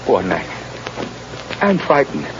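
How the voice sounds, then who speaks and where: An actor playing a young condemned prisoner in an old radio drama, halting, tremulous and despairing. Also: male voice, medium pitch, wildly swooping pitch, music in the background. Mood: frightened. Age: young adult. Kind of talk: 1930s-40s radio drama acting. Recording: noisy, muffled old radio recording.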